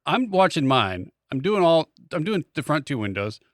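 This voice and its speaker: A man's voice: fairly high pitch, big pitch swings, moderate pace, no room sound, clean studio sound. A man telling a casual comic anecdote, wry and exasperated, acting out both sides of conversations. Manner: exasperated, acts out dialogue in anecdotes. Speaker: podcast co-host.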